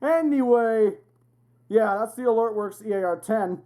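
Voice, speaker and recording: A young man hosting a casual hobby show-and-tell, with an excitable manner and a crude, joking streak. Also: sits high, measured pace. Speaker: video host.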